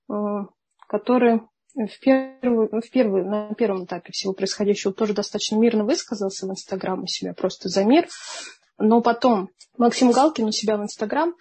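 A woman's voice: mid-range voice, a little mellow, slightly rough. A woman hosting a casual podcast conversation, thinking aloud and correcting herself as she goes.

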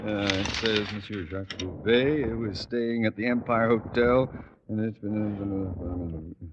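An actor playing a pompous, sarcastic middle-aged high school principal in florid old-time radio comedy style. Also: male voice, measured pace, muffled recording, natural voice. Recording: noisy old radio recording.

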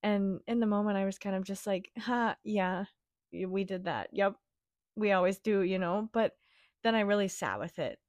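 A young woman hosting her own podcast, her voice raspy from a week-long cough, chatting in a gentle, reassuring, rambling way. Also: mid-range voice, normal speed, no room sound, clean studio sound. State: raspy from a cold. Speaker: podcast host. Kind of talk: casual solo podcast chat.

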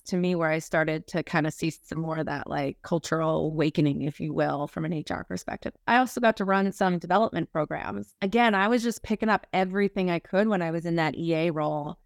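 A woman's voice: lowish voice, dry recording, studio quality. An American woman from Minnesota speaking as an interview guest, telling career stories informally with lots of "like" and "you know". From Minnesota, United States. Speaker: podcast guest, human resources executive. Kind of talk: casual career interview, conversational storytelling.

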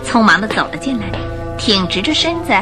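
Female radio drama narrator, mid-pitched, highly animated and dramatic storytelling. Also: brisk, over music. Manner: expressive, theatrical.

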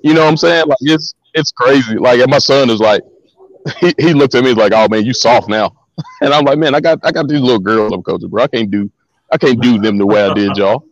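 Deep-voiced man telling stories, lo-fi line. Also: a little fast. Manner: relaxed, slangy, repeats words as he talks. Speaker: guest. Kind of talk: casual storytelling interview.

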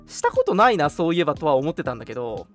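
Young man, casual livestream host, very lively intonation, playful retorts, soft background music. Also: a little high, rapid-fire. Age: young adult. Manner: playful, mock-indignant retorts to teasing. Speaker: radio show host. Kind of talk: casual chat on a livestream radio show.

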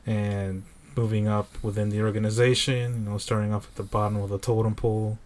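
Casual male podcast guest, age thirty, lowish voice, easygoing rambling delivery. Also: fairly quick, slight background noise. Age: 30s. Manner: relaxed storytelling, many fillers. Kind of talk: casual chat.

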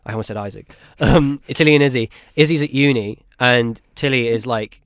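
A man's voice: medium pitch, brisk, dry recording. An eighteen-year-old man co-hosting a podcast, chatting casually with rambling, jokey talk full of fillers and restarts. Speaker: podcast co-host. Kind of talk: casual chat.

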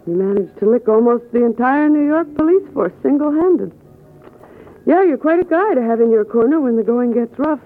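A woman's voice: fairly high pitch, expressive, moderate pace, close to an everyday voice. An actress playing a cool, composed woman client with an American accent and a teasing streak, in an old radio detective drama. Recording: noisy muffled old radio recording.

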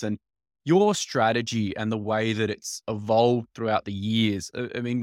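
A male host asks long questions in a low voice, stopping and restarting often as he builds up each one. The sound is dry and close, with no room around it. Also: no echo. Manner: halting, rambling questions with many restarts. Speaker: podcast host. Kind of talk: interview questions.

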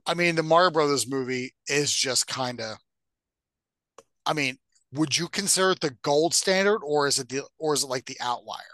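Higher-voiced man in casual, dry-sounding chat. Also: normal speed, pushed and bright, no room sound. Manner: informal, conversational. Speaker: podcast co-host. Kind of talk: casual chat about video game movies.

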